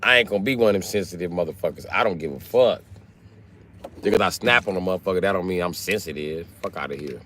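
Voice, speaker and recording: A man's voice: sits low, fast talker, a bit bright, slight background noise. A man in his late thirties chatting casually on a livestream, brash and blunt, with slangy, profane talk. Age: late 30s. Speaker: live streamer. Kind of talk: casual profane livestream chat answering commenters.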